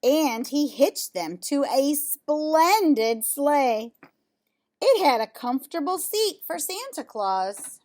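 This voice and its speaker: A woman reading a children's picture book aloud, pausing for casual asides and questions to young listeners. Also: sits high, very animated, darkish tone. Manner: pauses to comment on pictures and ask listeners questions.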